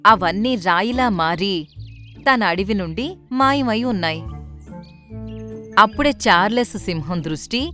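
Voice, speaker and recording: A woman's voice: mid-range voice, lively intonation, measured pace, pauses often, sharp tone. A woman reading scripted narration for a children's cartoon story. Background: light background music.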